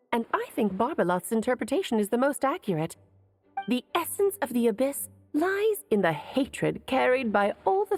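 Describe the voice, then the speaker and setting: Playful witchy mom from a video game, eloquent, teasing, highish voice, some music under it. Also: darkish tone. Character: playful, worldly sorceress mother, airy and theatrical. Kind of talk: video game character acting.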